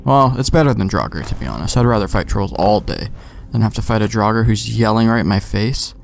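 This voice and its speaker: A man's voice: on the low side, a little fast, creaky, slight background noise. A young man giving offhand, slangy commentary as he plays a video game, talking to himself. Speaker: gamer, let's play host.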